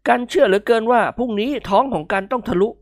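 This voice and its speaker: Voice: mid-range voice, very fast, dry recording, studio quality, voice pushed up, lightly acted. A reader voicing a cheeky young man who jokes and brags in an audiobook. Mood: gloomy joking. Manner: teasing, bragging banter. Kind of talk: audiobook character voice.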